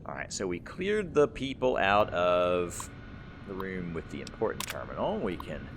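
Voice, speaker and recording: A man hosts a let's play in a somewhat low voice. He explains things casually and thinks aloud in a rambling way, over faint background noise. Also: sing-song, normal speed. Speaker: gamer, let's play host. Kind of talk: casual gameplay commentary.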